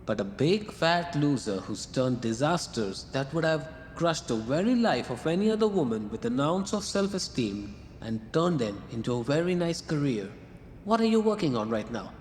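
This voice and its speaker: A man's voice: a little high, sing-song, normal speed, darkish tone, slight background noise. An actor playing a breezy male muse who talks in airy, teasing clichés in a radio drama. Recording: reverberant room with music behind.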